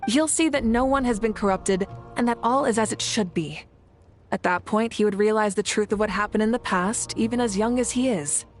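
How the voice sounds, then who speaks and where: Lady voicing calm assured game warrior leader, medium pitch, music under it. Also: lightly acted. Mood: thoughtful. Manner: measured, assured. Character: composed, noble warrior leader woman. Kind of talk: video game voice acting. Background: background music.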